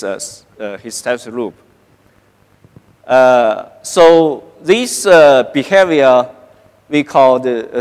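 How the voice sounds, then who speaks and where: Man, middle-aged, halting keynote lecture, very slow, lots of fillers, reverberant hall. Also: mid-range voice. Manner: halting, many "uh" fillers and restarts. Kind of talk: conference science lecture. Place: large conference hall stage.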